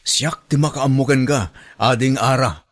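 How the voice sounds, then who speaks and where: A man's voice: mid-range voice, fairly even intonation, moderate pace, natural voice. An actor with an Ilocano accent playing a familiar, flirtatious and sympathetic village man in a radio drama. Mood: flirtatious, teasing.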